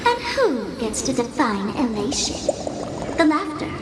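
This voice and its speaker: A woman playing a self-adoring, playfully menacing virtual idol impostor in a video game, with a grandiose, drawn-out delivery. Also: fairly high pitch, big pitch swings, recorded from a distance, very noisy. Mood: teasing, musing. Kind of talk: video game character acting. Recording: echoey, loud music behind.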